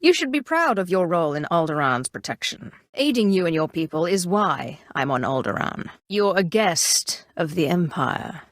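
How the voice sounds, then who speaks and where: Female Sith inquisitor, lowish pitch, imperious, calculating, formal, measured, dry close studio sound, video game dialogue. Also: big pitch swings, no echo. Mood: haughty, stern. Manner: formal, commanding, haughty, measured.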